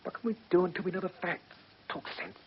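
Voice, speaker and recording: An actor playing an earnest British barrister and family man in a radio play, measured and precise. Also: high-pitched, sing-song, husky, exaggerated voice. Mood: tense. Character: principled male barrister, husband and father, earnest. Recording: dull radio drama with faint hiss.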